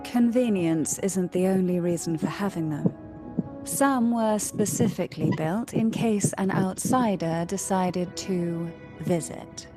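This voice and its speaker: A poised, knowing noblewoman from a video game voiced by a woman. Her voice sits fairly low and her phrasing is formal, measured and eloquent. Clear music plays behind her. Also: lightly acted. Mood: dry, composed. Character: poised, cultured noblewoman of secretive schemes, calm and knowing. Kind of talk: video game voice acting, scripted dialogue.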